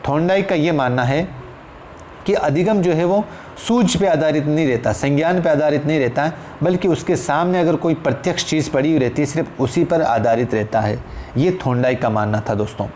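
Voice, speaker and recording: A man's voice: on the high side, fairly quick, a little mellow. Male Indian teacher with noisy background. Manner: repeats phrases for emphasis, addresses listeners as friends. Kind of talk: exam-prep lecture, explanatory. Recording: clear background noise.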